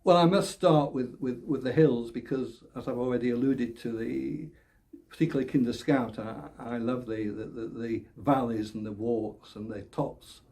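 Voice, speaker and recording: An older man from the north of England, a political candidate answering interview questions in a halting way, often repeating words, with small laughs. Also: medium pitch, fairly even intonation, dark tone. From England, born Leeds, raised Oldham. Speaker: election candidate.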